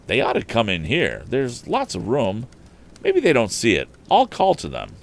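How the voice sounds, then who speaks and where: A man's voice: medium pitch, wildly swooping pitch, moderate pace, vocal fry, pitched above the performer's own voice. A man voicing an earnest, eager young male inventor who thinks aloud in an old adventure storybook. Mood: puzzled.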